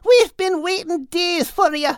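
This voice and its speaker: A man voicing a sweet, excitable female seagull in a storybook, affectionate toward her friends. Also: very high-pitched, a little slow, slight background noise, voice pushed up. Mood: excited.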